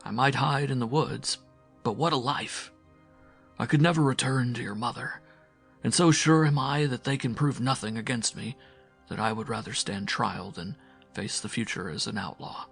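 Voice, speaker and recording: A man voicing an accused farmer father, calm and stubbornly resolute, speaking with earnest conviction. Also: mid-range voice, moderate pace, gravelly, slightly rough, faint music behind.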